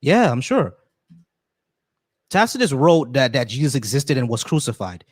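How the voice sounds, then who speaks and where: A man hosting a call-in stream, arguing quickly and repeating phrases to make his point. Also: medium pitch, very animated, no echo, studio quality. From United States. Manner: rapid, argumentative, repeats phrases. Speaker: host. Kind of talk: casual debate on a call-in stream.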